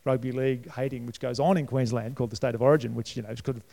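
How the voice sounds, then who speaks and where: A middle-aged Australian man preaching a sermon in a conversational way, his voice jumping up in pitch and loudness at times, recorded in a church hall. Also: steady tone, very fast, creaky. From New South Wales, Australia. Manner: conversational preaching with sudden lifts in pitch and volume. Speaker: pastor. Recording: long reverb of a church hall.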